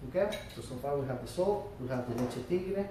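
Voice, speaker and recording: A male chef talks through how to make a dish, step by step, pausing often on fillers. His voice sits at an ordinary middle pitch. He is heard from well away from the mic in a very echoey restaurant room, with faint noise behind him. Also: animated, recorded from a distance. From Florida. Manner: explains step by step with many fillers. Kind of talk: cooking demonstration.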